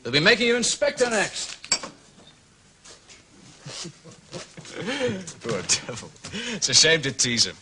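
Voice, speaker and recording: An actor playing a flippant, evasive gentleman painter in a radio drama, with a sardonic, theatrical drawl. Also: fairly high pitch, huge pitch swings, slow pace, pauses often, a little hiss or hum, heavily acted. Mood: defiant, amused.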